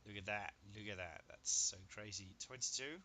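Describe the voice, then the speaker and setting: A man with a Danish first language talks through his game in an easy, chatty way. He gets excited over each result and does sums aloud, over a clear steady hiss. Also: lowish voice, normal speed. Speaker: gaming video creator. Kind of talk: casual gameplay commentary.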